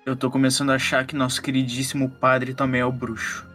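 A man's voice: medium pitch, monotone, fast talker, a bit bright, soft background music, natural voice. A man playing a skeptical, analytical psychologist detective in a horror roleplaying game, talking casually with a Brazilian accent. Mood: wary. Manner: casual, reasoning.

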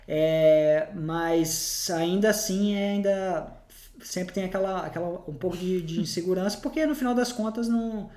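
A man's voice: sits high, unhurried, a little mellow, echoey room. A man chatting casually, often hesitating and restarting his sentences. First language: Portuguese. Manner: hesitant, many restarts and fillers. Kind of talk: casual conversation.

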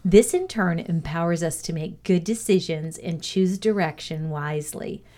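Low-pitched woman reading an educational script. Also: dark tone. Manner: measured, deliberate read-aloud delivery. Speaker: reader of a values lesson.

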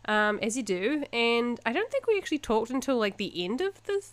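A woman's voice: fairly high pitch, sing-song, brisk, no echo. Chatty New Zealand woman, dry close mic. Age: young adult. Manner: chatty, laughs often, self-mocking. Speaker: podcast co-host. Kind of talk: casual chat.

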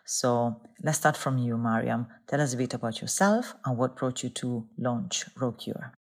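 A woman's voice: rumbling low, normal speed. A woman hosting a podcast, reading a formal, polished introduction and asking scripted interview questions. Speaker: podcast host. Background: intro music.

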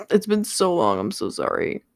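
A tired, slightly raspy young woman rambling through a casual solo podcast late at night, swearing freely and filling gaps with lots of ums. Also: lowish voice, big pitch swings, moderate pace, no room sound. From Southern California. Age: young adult. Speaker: podcast host. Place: home room with a fan running. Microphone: studio microphone without pop filter.

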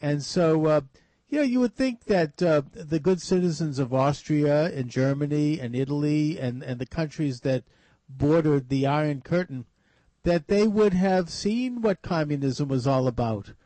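A man's voice: on the high side, measured pace, warm tone, dry recording. A man hosting a radio talk show, asking long questions with many restarts and fillers. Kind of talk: radio interview.

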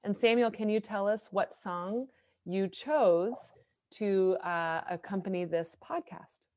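A woman's voice: medium pitch, slow pace, darkish tone. A woman hosting a podcast in a conversational interview style. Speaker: podcast host.

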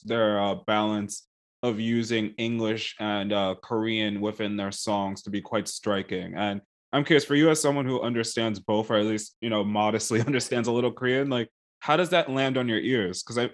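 A man hosting a podcast interview, asking thoughtful questions in a hesitant way, with many fillers. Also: mid-range voice. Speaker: podcast host. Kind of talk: casual interview chat.

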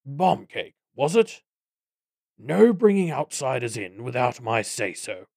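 A man voicing a pompous, menacing goblin boss in an audiobook, with wordy, deliberate speech. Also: mid-range voice, sing-song, vocal fry, a little mellow, dry recording, studio quality, exaggerated voice. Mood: threatening, smug. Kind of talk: audiobook character acting.

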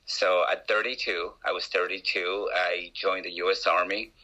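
A man in his forties from Peru with a Peruvian accent, a guest telling his life story in an interview, with frequent pauses and restarts. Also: low voice, flat delivery, sharp tone, rough recording. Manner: hesitant, many restarts and uh fillers.

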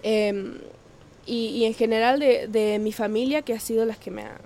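Hesitant young woman from Ecuador, higher voice. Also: fairly even intonation, normal speed, vocal fry, dark tone. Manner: hesitant, many fillers, laughs easily. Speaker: guest, singer. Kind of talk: casual interview.

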